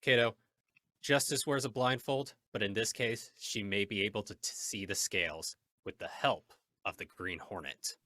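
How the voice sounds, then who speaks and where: A man's voice: fairly low pitch, moderate pace, bright, forceful tone, no room sound, clean studio sound. A man playing a resolute, grave masked vigilante hero in old-time radio drama style. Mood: grave, determined. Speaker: actor in a radio play.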